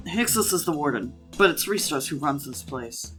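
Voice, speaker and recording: A woman's voice: low-pitched, animated, normal speed, fairly bright tone, soft background music. A woman voicing a hot-tempered sixteen-year-old street brawler, a wary prisoner giving urgent, emphatic warnings in an audio drama.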